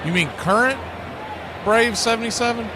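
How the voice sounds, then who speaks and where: An American man calling baseball play-by-play, mixing pitch calls with casual chat. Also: normal speed. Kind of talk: live sports commentary with casual chat. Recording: loud background noise.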